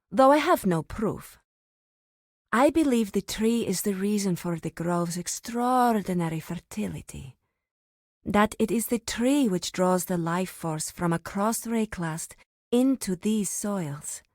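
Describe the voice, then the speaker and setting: A woman playing a reverent, solemn druid of an ancient tribe in a fantasy video game, speaking formally with deliberate pauses. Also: mid-range voice, animated, darkish tone, dry recording, clean studio sound. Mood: solemn, contemplative.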